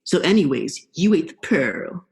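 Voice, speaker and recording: A woman's voice: low-pitched, very animated, normal speed, creaky, a little mellow, full character voice. A young woman in a student radio play, playing a laid-back, vengeful male eel with a casual, slangy drawl. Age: young adult.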